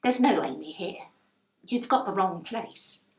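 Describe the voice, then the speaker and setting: A woman's voice: mid-range voice, animated, measured pace, darkish tone, reverberant, lightly acted. A woman voicing a chatty, blunt working-class London landlady in a children's storybook. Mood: blunt, indignant. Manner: chatty, blunt, colloquial non-standard grammar.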